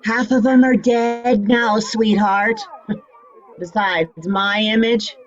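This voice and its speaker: An actress playing a flippant, teasing retired heroine of sixty-five in a fan-made drama. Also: female voice, medium pitch, fairly even intonation, takes it slowly, dull audio, lightly acted. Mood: flippant, amused. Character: brassy, flippant 65-year-old retired heroine, a mother.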